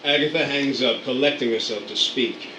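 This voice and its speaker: A man reading stage directions for an amateur radio play, brisk and matter-of-fact. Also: mid-range voice, far from the mic. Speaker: narrator. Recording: echoey room, off-mic, faint noise.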